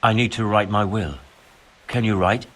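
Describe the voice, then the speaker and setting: An actor playing a grave, fearful medieval man in a video game, with a very rough, husky voice. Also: on the low side, fairly even intonation, moderate pace, fairly bright tone. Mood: grim. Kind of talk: video game dialogue acting. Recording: music clearly behind the voice.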